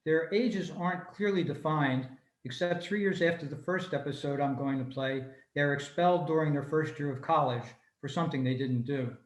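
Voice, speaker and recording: Male club presenter, slightly high-pitched, reading prepared notes on a video call from an echoey room. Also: moderate pace, hardly any pauses. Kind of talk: scripted informational talk, read aloud. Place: room, remote video meeting.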